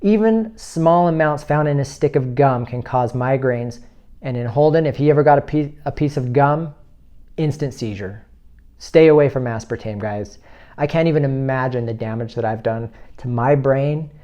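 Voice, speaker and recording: A man's voice: mid-range voice, mellow, lo-fi sound. Earnest man in his thirties urging viewers. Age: 30s. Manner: earnest and urging, lists items rapidly. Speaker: health video host. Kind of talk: informal health talk to camera.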